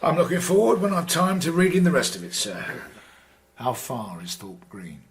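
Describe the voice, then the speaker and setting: An actor playing a polite, probing Victorian Scotland Yard sergeant in a radio drama, questioning people with tact and care. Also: male voice, on the high side, big pitch swings, normal speed. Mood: polite, inquiring. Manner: polite, tactful, careful questioning.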